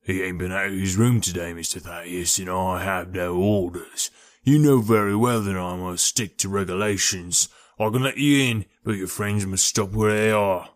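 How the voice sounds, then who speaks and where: A man voicing a gruff, stubborn ex-prizefighter porter with blunt, rough working-class speech in an audiobook. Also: sits low, fairly even intonation, moderate pace, natural voice. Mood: suspicious. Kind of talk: audiobook character voice.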